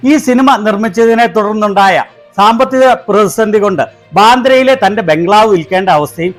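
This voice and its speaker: A man's voice: high voice, brisk, faint music behind. A man with a Malayali accent delivering scripted, critical commentary as a video host.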